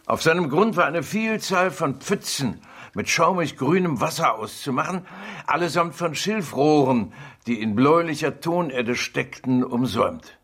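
An actor playing an urbane gentleman in a radio drama, relishing an adventure tale with measured, articulate, theatrical delivery. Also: on the high side, animated, breathy edge, full character voice. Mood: relishing, suspenseful. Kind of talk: radio drama acting, dramatic reading aloud.

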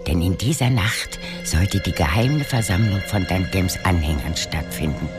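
An actor as the grave, suspenseful narrator of a scripted fantasy radio drama. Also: male voice, deep voice, moderate pace, lightly acted. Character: grave, suspenseful male storyteller. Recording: loud music behind the voice.

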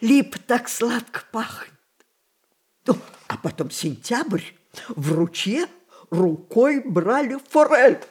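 An actress playing a spirited, imperious old woman in a radio play, coaxing and reminiscing in an expressive, theatrical way. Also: a little high, takes it slowly, husky, full character voice. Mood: wistful, excited. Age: older.

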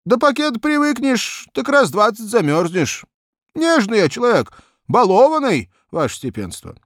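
Storybook young peasant cabman, acted by a man: pampered, rambling, speech, raised pitch with big swings. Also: wildly swooping pitch, normal speed, fairly bright tone, no room sound, studio quality, full character voice. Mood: plaintive, nostalgic. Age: young adult. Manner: rambling, folksy, plaintive. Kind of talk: audiobook character acting.

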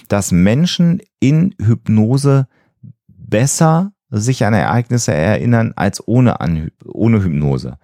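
A man's voice: fairly low pitch, gravelly, warm tone, no room sound, studio quality. A man, co-hosting a podcast and explaining things in an earnest, emphatic way. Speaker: podcast co-host.

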